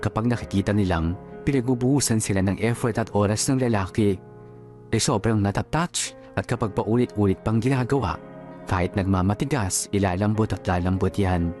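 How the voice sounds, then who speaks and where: A man's voice: on the low side, brisk, darkish tone. A man giving scripted first-person narration for a radio drama, confiding and reflective in manner. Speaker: narrator reading a letter sender's story. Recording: music behind the voice. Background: music.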